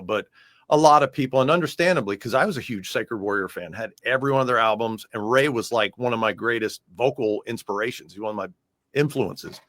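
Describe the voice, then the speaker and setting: A man's voice: mid-range voice, a bit bright, no echo. An American man chatting casually in a music interview as the guest, talking in a loose, rambling way.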